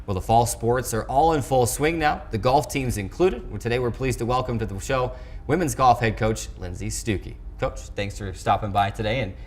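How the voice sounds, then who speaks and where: A man hosting a sports talk show in a studio, interviewing conversationally with wordy, halting phrasing and repeated words. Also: medium pitch, darkish tone, slight background noise. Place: television studio.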